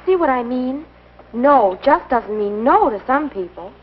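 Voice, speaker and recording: A woman's voice: high voice, lively intonation, unhurried, slight background noise. A woman playing a sensible, earnest teenage girl in a 1950s instructional film, speaking carefully. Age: young adult. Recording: muffled old educational film soundtrack.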